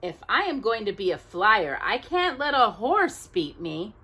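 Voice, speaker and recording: A woman's voice: medium pitch, big pitch swings, a little slow, bright, forceful tone, breathy edge, heavily acted. A woman voicing an eager, boastful young boy locomotive in a children's storybook read-aloud. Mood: determined.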